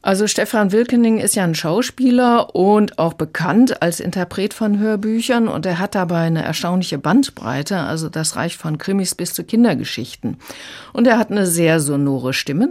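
Female reviewer with a deep voice, explaining books in measured radio talk. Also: animated, a little mellow. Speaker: audiobook reviewer.

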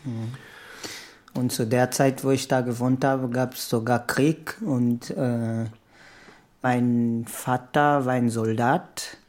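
A man's voice: medium pitch, fairly even intonation, slow, darkish tone. A man from Angola, recalling his life in an unscripted, conversational way. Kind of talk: unscripted personal recollection.

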